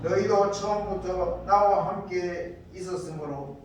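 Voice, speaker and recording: A male pastor with a high-pitched voice delivers a sermon in deliberate, short phrases. The sound is very echoey, as in a church, picked up at a distance from the microphone. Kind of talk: scripture reading and sermon. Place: church hall. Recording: echoey church, distant mic, faint noise.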